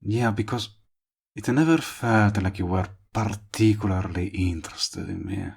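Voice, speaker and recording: A man acts out a lovestruck villain in a romantic audio roleplay. His voice is very low and full of gravelly fry, and he takes his time with every line. He sounds smug and teasing, yet tender, as he courts the listener up close. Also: a little mellow. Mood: wistful, awkward. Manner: slow, murmuring, teasing. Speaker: voice actor.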